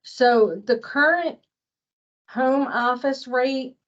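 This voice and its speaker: A woman's voice: high voice, very slow. Woman presenter, dull lo-fi audio. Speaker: presenter on billing and invoicing at a staff training. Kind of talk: informal spoken training explanation.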